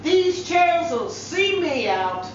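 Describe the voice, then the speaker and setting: Elderly male suitor played by a woman, proud and emphatic, lively pitch swings, storybook reading in a very echoey room. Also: takes it slowly, noisy background, exaggerated voice. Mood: boastful. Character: elderly widower, thrifty, proud, eager suitor. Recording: very echoey room, distant mic, slightly dull.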